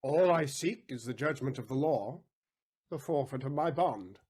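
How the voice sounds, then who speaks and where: A man's voice: mid-range voice, animated, normal speed, a little mellow, breathy edge. A man acting a vengeful, stubborn moneylender in a Shakespeare audio play, with emphatic, defiant theatrical delivery.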